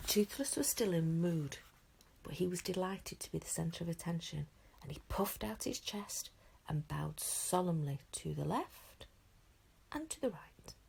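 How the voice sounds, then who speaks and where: A woman teacher reading a children's book aloud with expressive storytelling. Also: lowish voice, measured pace, creaky, husky. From England.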